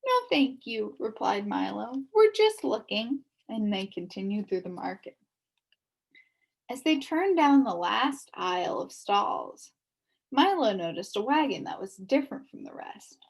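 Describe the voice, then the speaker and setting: A woman reading a children's novel aloud with expressive, animated storytelling. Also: mid-range voice, gravelly, husky. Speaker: storybook reader.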